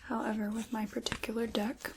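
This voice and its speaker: A woman playing a sinister, chaos-loving dark witch in a whispered, theatrical ASMR roleplay, soft-spoken and close to the microphone. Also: fairly high pitch, flat delivery, fairly quick, heavy background noise. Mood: silky, coaxing.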